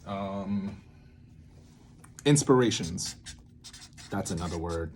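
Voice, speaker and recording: A man with a fairly low voice talks off the cuff as he works through creative ideas. His delivery is informal and rambling, with words that get stretched or punched for emphasis. There is some background noise on the recording. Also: takes it slowly, darkish tone. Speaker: video series host, theatre maker. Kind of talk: casual unscripted creative-process talk, thinking aloud.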